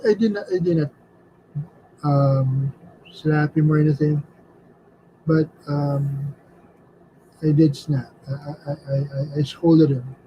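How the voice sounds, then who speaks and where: A middle-aged man talks slowly and hesitantly, stumbling over repeated words and fillers in a slightly high voice. He speaks in a home studio room. The recording sounds a little dull and lo-fi, with faint noise behind. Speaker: artist and vlogger. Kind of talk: casual reflective chat while drawing.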